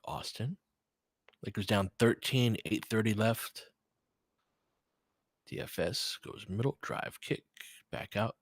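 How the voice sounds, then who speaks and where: A man's voice: lowish voice, slow, long pauses, husky, dry recording, clean studio sound. A man with an American accent giving casual live basketball commentary, thinking aloud and chatting conversationally with listeners. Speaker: host of fan play-by-play livestream.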